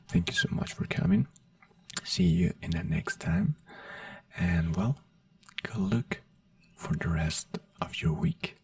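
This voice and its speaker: A non-native English speaker in a soft-spoken ASMR doctor roleplay, whispering breathily in a gentle, reassuring manner. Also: sits low, gravelly, far from the mic. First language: not English. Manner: gentle, reassuring personal attention. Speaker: ASMR performer playing a brain doctor.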